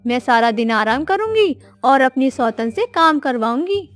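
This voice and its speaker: A woman's voice: high voice, lively intonation, normal speed, soft background music. A woman voicing a discontented, scheming female crow who is a wife, with a dramatic, complaining manner, in a children's cartoon story. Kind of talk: children's animated story acting.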